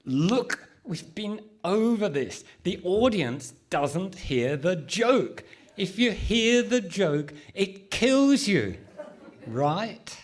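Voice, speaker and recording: A man's voice: sits high, big pitch swings, heavily acted. A man playing an exasperated, emphatic comedian in a live radio comedy play. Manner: deliberate, emphatic. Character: exasperated male comedian recording a sketch, pompous narrator. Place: hall stage in front of a live audience.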